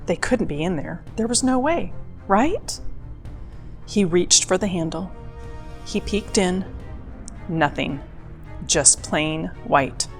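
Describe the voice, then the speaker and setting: A woman's voice: on the low side, expressive, takes it slowly, long pauses. A woman reading a children's picture book aloud expressively, drawing out spooky words for drama. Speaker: storyteller. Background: background music.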